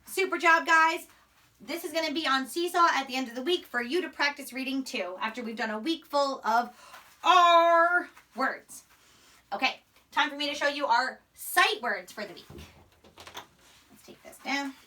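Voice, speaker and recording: A woman's voice: high voice, animated, moderate pace, sharp tone. A young woman teaching a phonics lesson to young children, sounding out words and letters emphatically, recorded from a few steps away. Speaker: primary school teacher. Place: small indoor room, in front of a whiteboard. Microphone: camera microphone a few steps away. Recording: voice distant from the camera mic.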